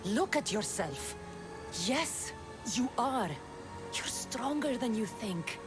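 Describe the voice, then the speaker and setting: A woman's voice: on the high side, animated, slow pace, music in the background. A woman voicing a tough, level-headed warrior woman in a video game, frank and firm in manner. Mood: reassuring. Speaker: investigator and fighter. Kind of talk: video game cutscene acting.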